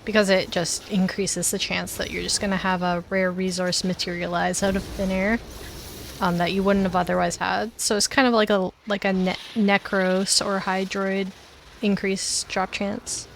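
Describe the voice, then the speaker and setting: A woman's voice: mid-range voice, little pitch movement, moderate pace, gravelly, slight background noise. A Canadian woman with a Canadian accent, streaming a game and chatting casually with many fillers. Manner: chatty, rambling, self-deprecating, many fillers; talks while eating. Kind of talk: casual livestream chat.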